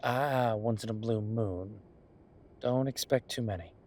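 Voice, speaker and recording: A man playing an affectionate, teasing chef boyfriend in an intimate roleplay audio, talking in a conversational, reassuring way with a husky voice. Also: lowish voice, a little slow, darkish tone. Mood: playful, sheepish. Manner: conversational, reassuring, playfully bantering. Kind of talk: intimate one-sided boyfriend roleplay acting.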